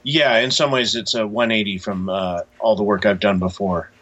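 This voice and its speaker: An American man speaks as an interview guest, explaining things thoughtfully and haltingly. His voice is low and husky with a bright, forceful edge. The sound is a little dull, like a remote call. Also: fairly even intonation. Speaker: cartoonist and author, interview guest. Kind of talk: conversational interview answers.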